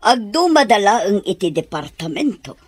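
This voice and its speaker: A husky middle-aged Ilocano mother in a radio comedy drama, ailing and wheedling, always matchmaking. Her pitch swings widely and the sound is dry and close. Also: very animated, moderate pace, no room sound. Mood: teasing, scheming.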